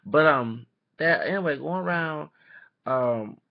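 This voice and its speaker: A man from Texas giving casual, rambling opinion commentary into a webcam, halting and often repeating or correcting himself. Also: on the high side, expressive, creaky. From Texas, United States. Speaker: vlogger. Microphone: webcam microphone. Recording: dull lo-fi webcam audio.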